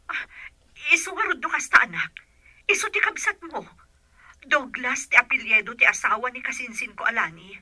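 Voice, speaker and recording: A woman's voice: fairly high pitch, sing-song, normal speed, sharp tone, no echo, full character voice. A woman acting a protective, plain-spoken provincial mother in an Ilocano radio drama. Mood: determined.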